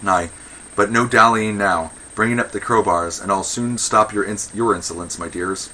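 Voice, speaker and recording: A man's voice: on the low side, moderate pace, sharp tone, a little hiss or hum, poor audio. A man playing a pompous, indignant old Athenian magistrate in a verse comedy, declaiming with blustering contempt for women. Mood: pompous, exasperated. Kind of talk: verse comedy read aloud.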